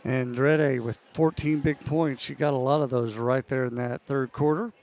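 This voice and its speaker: A man calling a women's college basketball game play-by-play, losing his voice and clearing his throat now and then. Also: medium pitch. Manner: steady, measured calls that rise on big plays. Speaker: play-by-play announcer.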